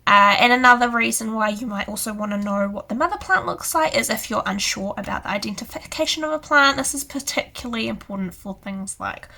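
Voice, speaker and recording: A young woman with a hoarse, croaky voice from illness, chatting casually as she explains plant care. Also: a little high, lively intonation, brisk, few pauses, sharp tone. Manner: chatty and rambling, many "so yeah" fillers. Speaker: houseplant channel host. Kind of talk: casual tutorial to camera.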